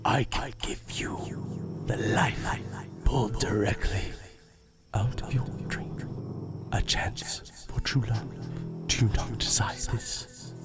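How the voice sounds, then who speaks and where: A sinister dark spirit offers wishes in a breathy whisper. Each phrase is drawn out extremely slowly, seductive and menacing, over clear background music in an audio drama. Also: male voice, fairly low pitch. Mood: coaxing, seductive.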